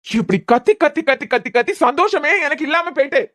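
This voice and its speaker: A woman's voice: high voice, expressive, fast talker, a bit bright. A woman voicing a bitter, hurt young husband, sarcastic and repeating words in anger. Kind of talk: amateur audio drama.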